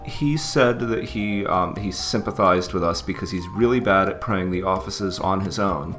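A man's voice: low voice, moderate pace, a bit bright, faint music behind. A man co-hosting a podcast in casual, easygoing chat with hesitant fillers and chuckles. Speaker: podcast co-host.

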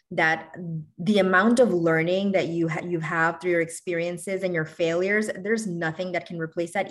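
A woman from Bangladesh, a doctor guest on a podcast, talking in a candid, casual and upbeat way. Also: lowish voice. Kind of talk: podcast interview.

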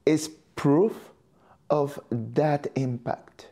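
An interviewer speaking in a reflective, celebratory style, deliberately building phrases in series. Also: medium pitch, steady tone, mellow.

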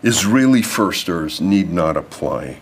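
A man's voice: deep voice, sing-song, a little slow, slight background noise. A man delivering a prepared lecture to an audience, reading formal text and adding off-script asides. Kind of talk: prepared speech read to an audience.

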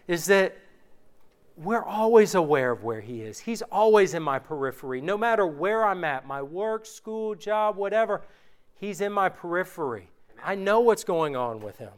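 A man preaching an informal sermon, reading scripture aloud slowly and conversationally, his voice worn from recent illness. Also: high voice, big pitch swings, clean tone. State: recovering from illness, voice going.

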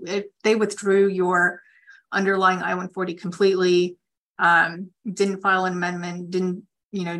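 A woman with a slightly low voice speaks as an attorney hosting a call. She explains legal points in a measured way, pausing as she works out her phrasing. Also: bright, forceful tone.

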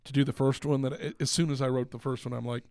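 A male podcast host chats casually in a gravelly, mid-pitched voice. The sound is close and dry, with very little room. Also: level tone, quick pace, a little mellow, no echo. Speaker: podcast host and radio play writer.

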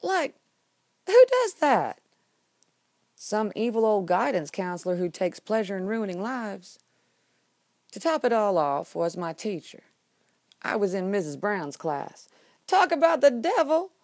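A woman's voice: mid-range voice, sing-song, a little slow, pauses often, darkish tone, no echo, studio quality. A woman performing a comedic monologue as an exasperated, sarcastic student pleading innocence, with dramatic, emphatic delivery. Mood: indignant outrage.

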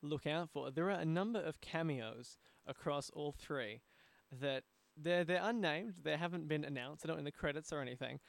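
A man's voice: a little high, moderate pace, vocal fry, no echo. A man hosting a conversational podcast interview. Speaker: interview host.

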